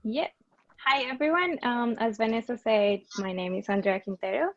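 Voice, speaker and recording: A woman's voice: on the high side, normal speed, dry recording. A woman from Colombia with a Colombian Spanish accent, sharing a personal story openly on a panel over a video call. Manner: friendly, open, with fillers. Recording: dry, dull lo-fi video call.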